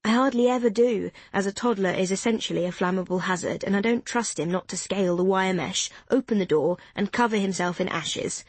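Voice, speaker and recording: A woman voicing a cheerful, excitable young mother who talks quickly and pokes fun at herself, in a slightly husky voice. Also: mid-range voice, no room sound, close to an everyday voice. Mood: chatty. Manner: bubbly, quick, self-deprecating.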